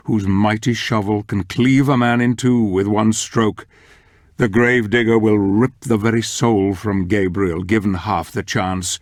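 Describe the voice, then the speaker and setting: A grave male storyteller narrates a gothic fantasy game. His voice is low and husky, and he takes his time, dwelling on phrases with ominous theatrical weight. The sound is close and dry. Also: no room sound. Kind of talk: dramatic scripted narration.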